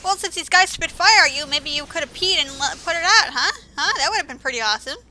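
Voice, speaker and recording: A woman's voice: high-pitched, lively intonation, fast talker, bright, forceful tone, slight background noise. A woman giving casual video game commentary as host, with sarcastic asides, over music. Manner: sarcastic, mildly profane asides.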